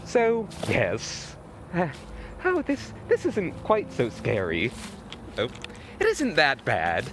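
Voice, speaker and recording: A man's voice: on the high side, wildly swooping pitch, slow, noisy background. A man vlogging outdoors in the woods at night on a handheld camera, stammering nervously with repeated words and voice cracks. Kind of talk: mock-scared comedic night vlog.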